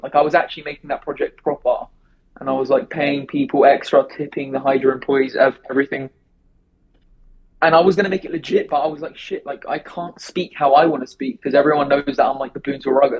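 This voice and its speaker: A man talking fast and rambling in a casual remote interview, with many restarts. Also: fairly high pitch, breathy edge, highs cut off. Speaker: interview guest.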